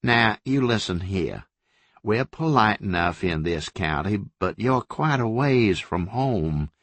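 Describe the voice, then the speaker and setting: A man's voice: lowish voice, animated, slow pace, a bit bright, dry recording, exaggerated voice. A man voicing a smug, patronizing county sheriff who talks in a lazy Southern drawl.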